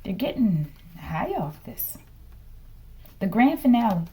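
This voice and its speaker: A woman giving an improvised, informal tarot reading to an online audience, asking rhetorical questions, tagging her phrases with "okay" and dropping into hushed asides. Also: medium pitch, very animated, slow pace. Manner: repeats rhetorical questions and verbal tags like "okay". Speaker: tarot reader, host of the reading.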